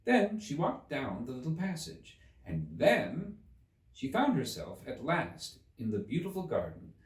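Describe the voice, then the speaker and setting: A man reading a classic children's story aloud as expressive scripted narration. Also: medium pitch, unhurried. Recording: roomy echo, distant mic.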